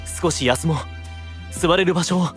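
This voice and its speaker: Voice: mid-range voice, normal speed, soft background music, lightly acted. An actor playing a kind, caring young male knight with a considerate, friendly manner in an anime-style voice drama. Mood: concerned. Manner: considerate, friendly, polite-casual.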